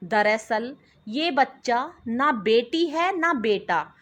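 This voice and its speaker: A careful female doctor in a spoken story, voiced by a woman narrator. She speaks very slowly in a high voice, gently and reassuringly, as if breaking hard news. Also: animated, exaggerated voice. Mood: gentle, grave.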